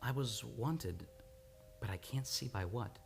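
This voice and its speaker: A man's voice: medium pitch, a little slow, gravelly. A man acting in an old-time radio drama as an earnest, anguished amnesiac on the run. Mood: anguished, confused. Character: troubled, lovelorn amnesiac man fleeing a murder charge. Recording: live radio play with music behind.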